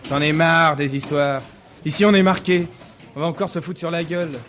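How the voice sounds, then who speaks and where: Grumbling young bar lout played by a man, slightly high voice, slangy and curt, faint music. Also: steady tone, moderate pace, fairly bright tone, natural voice. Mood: weary grumbling. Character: surly, swearing young small-town troublemaker living with parents.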